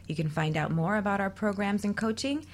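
Female talk radio host, medium pitch, presenting semi-scripted segments in a coaching role. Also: brisk.

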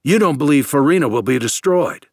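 A man's voice: medium pitch, animated, fairly quick, gravelly, dry recording, clean studio sound, voice pushed up. A man voicing an agitated, suspicious space scientist in an audiobook, insistent and accusatory, verging on hysteria. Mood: accusing.

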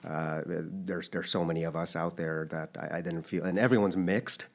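A man's voice: low-pitched, steady tone, moderate pace, creaky. A man in his thirties who grew up in Japan, answering interview questions in a thoughtful, hesitant way with many restarts. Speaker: interview guest. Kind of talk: casual interview.

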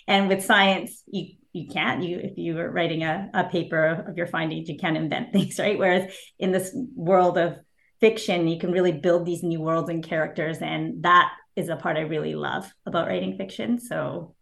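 A woman whose first language is Japanese, speaking as an author guest in an interview, thoughtful and hesitant with fillers and soft laughs. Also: on the low side, steady tone, moderate pace, creaky. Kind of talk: casual interview answers.

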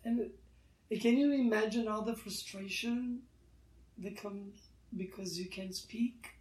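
Slow, halting man in a roomy space. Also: high voice, darkish tone, far from the mic. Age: 30s. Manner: halting, many restarts, earnest. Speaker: guest artist. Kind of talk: reflective interview talk.

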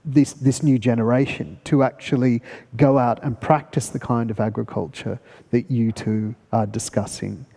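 An Australian man moderating a live panel discussion, with articulate, bookish and deliberate phrasing. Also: medium pitch, steady tone, creaky, mellow. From country New South Wales, Australia. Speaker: panel host. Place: festival venue hall. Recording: reverberant hall.

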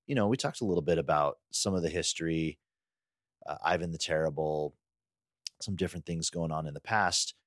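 A man's voice: very deep voice, brisk, no echo, studio quality. A man co-hosting a podcast, talking in a casual, conversational way. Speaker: podcast co-host.

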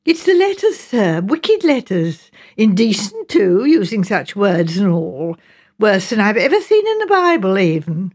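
A woman's voice: medium pitch, sing-song, a little slow, a little mellow. A woman voicing a garrulous working-class village mother in an audiobook, indignant and rambling, deferential yet firm, with ungrammatical rustic turns of phrase. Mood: indignant, insistent. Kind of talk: audiobook character dialogue.

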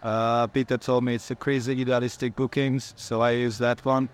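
Clear-voiced man from Hungary. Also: medium pitch, little pitch movement, moderate pace. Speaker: panel guest, label runner. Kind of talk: casual self-introduction.

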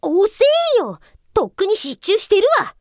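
A woman playing a hot-tempered, sarcastic female police officer in a comedic radio drama, exasperated and rough-tongued. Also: very high-pitched, big pitch swings, measured pace, no room sound, clean studio sound, full character voice. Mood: outraged.

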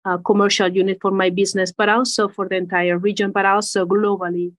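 A woman's voice: medium pitch, little pitch movement, brisk. A woman in her forties from Spain with a Spanish accent, answering interview questions as a company executive, carefully repeating words as she searches for phrasing. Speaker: corporate executive guest.

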